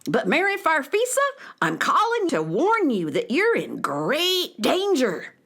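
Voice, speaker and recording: A woman's voice: high voice, huge pitch swings, unhurried, a bit bright, full character voice. A woman playing a fussy, boastful, hard-of-hearing elderly alien in a children's space radio play. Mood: urgent warning. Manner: boastful, mishears what is said.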